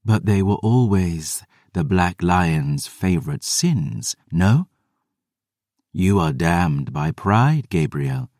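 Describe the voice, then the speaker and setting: A man voicing a cold, cunning undead woman who speaks in a measured, sly way. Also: low-pitched, lively intonation, darkish tone, no room sound, studio quality. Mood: cool.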